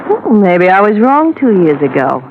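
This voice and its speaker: Proud old flame, animated old-radio voice. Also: female voice, medium pitch, normal speed. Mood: hurt, defensive. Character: wounded, proud female old flame of the detective. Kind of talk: 1950s radio drama acting. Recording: muffled, noisy vintage radio broadcast recording.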